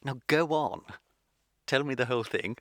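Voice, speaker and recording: An actor playing a witty, teasing young English gentleman of leisure in a comic radio play, speaking with arch, languid precision. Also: mid-range voice, normal speed, no echo, clean studio sound, close to an everyday voice. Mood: insistent, amused.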